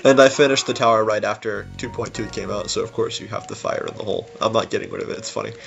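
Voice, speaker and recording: A man's voice: on the low side, fast talker, creaky, a bit bright, muffled recording. Rambling casual man over music. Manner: rambling, informal. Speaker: game level creator giving developer commentary. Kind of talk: casual unscripted gameplay commentary. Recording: music behind the voice. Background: game music.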